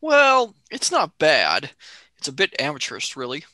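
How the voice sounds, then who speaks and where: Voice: mid-range voice, wildly swooping pitch, moderate pace, sharp tone, no room sound. A performer playing a cheeky, impatient young male adventurer in a radio play, breezy and argumentative. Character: cheeky, impatient young man, time-travelling companion.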